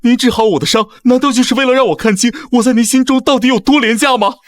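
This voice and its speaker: A man voicing an earnest, wounded young consort in an animated drama, formal and full of doubt. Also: very high-pitched, fast, no echo. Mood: hurt, indignant. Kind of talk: animated short-drama dubbing.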